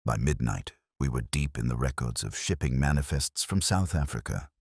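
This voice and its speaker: Very deep-voiced man narrating, dramatic swings. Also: animated, moderate pace, creaky, darkish tone, slightly rough, no echo, clean studio sound. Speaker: audiobook narrator. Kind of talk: scripted first-person detective story narration.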